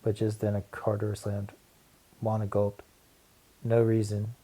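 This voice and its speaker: Mellow man narrating a children's story. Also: lowish voice, monotone, a little slow, slightly rough, rough recording.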